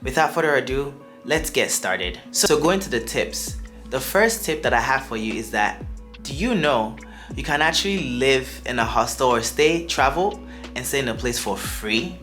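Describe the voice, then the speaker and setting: A man's voice: medium pitch, animated, normal speed, fairly bright tone, faint music behind. A young man from Nigeria, speaking with a Nigerian accent that drifts toward American, gives chatty, upbeat travel advice to camera as a vlogger. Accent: Nigerian, shifting toward American. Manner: chatty and upbeat, with asides and restarts.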